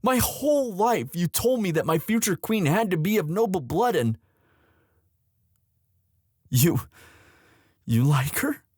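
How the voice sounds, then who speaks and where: Husky, anguished young prince acted by a man. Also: high voice, big pitch swings, a little mellow, no echo, clean studio sound, full character voice. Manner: halting, emotive, sighing, intimate delivery. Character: lovestruck young prince, anguished, tender and protective. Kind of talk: boyfriend ASMR audio roleplay drama.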